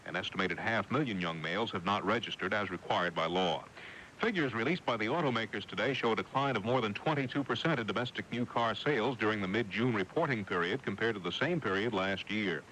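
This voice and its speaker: Male American radio anchor. Scripted newscast, deep-set bright delivery, slightly noisy vintage AM broadcast. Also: expressive, a little fast.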